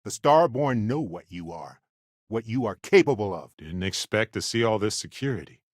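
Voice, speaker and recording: A man voicing an affable, wry space explorer companion in a video game, speaking in an expressive, conversational way. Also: on the low side, moderate pace, dry recording, studio quality. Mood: measured.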